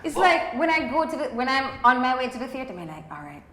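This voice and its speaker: A woman's voice: high-pitched, big pitch swings, quick pace, a crowd in the background. A woman with a Jamaican accent, a pantomime actor, chatting casually on a group podcast. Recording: echoey room.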